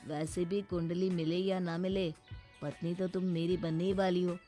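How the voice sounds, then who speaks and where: A woman plays a polite young grown son, mild and placating. The voice is lowered, in cartoon style with soft music behind it. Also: little pitch movement, moderate pace, natural voice. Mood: coaxing. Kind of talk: cartoon voice acting.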